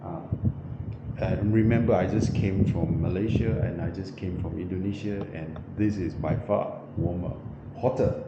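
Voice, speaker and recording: A man showing a small windowless hotel room while telling a travel story, often pausing and correcting himself with many fillers. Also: low voice, fairly even intonation. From New Zealand. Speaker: travel vlogger. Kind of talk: casual vlog walkthrough. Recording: echoey room, muffled, noisy background.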